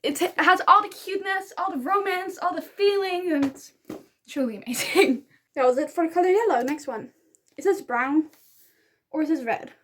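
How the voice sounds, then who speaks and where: High-voiced Dutch woman gushing over books. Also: moderate pace, fairly bright tone, a little hiss or hum. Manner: gushing, rambling, giggly. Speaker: book vlogger. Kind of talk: casual book review vlog.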